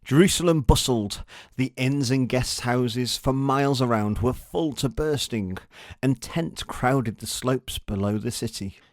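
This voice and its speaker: A man's voice: medium pitch, unhurried, no echo, clean studio sound. A man narrating a scripted story for children.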